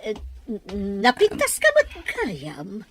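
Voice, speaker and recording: A woman's voice: medium pitch, huge pitch swings, slow pace. An actress playing a wealthy, haughty middle-aged mother in a radio drama, questioning and exclaiming theatrically. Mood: flattered. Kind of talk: Filipino radio drama acting.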